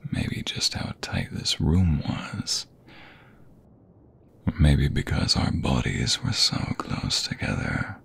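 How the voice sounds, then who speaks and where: Flirty, loving husband for ASMR audio roleplay, played by a man: gravelly, creaky, very breathy, intimate. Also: extremely low pitch, exaggerated voice. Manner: slow, intimate, often half-whispered. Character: affectionate, flirty, adoring husband.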